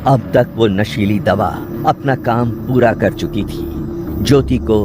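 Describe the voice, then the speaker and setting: A male narrator tells a suspense story in a fairly low voice. His delivery is scripted, dramatic and measured, with music clearly playing behind him.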